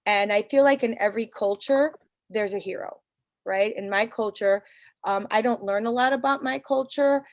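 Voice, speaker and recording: A woman's voice: medium pitch, normal speed, no echo, dull audio. Earnest New woman on a video call. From New York City. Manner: casual, earnest, with restarts. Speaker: parent council leader. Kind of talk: virtual community meeting talk.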